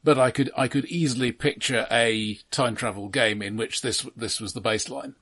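Man, medium pitch, podcast co-host, casual analytical film talk, measured, matter-of-fact. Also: fairly bright tone.

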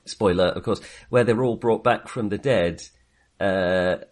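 A man with a fairly low voice discusses films casually as a podcast co-host. His delivery is hesitant and halting, often doubling back on a phrase before moving on.

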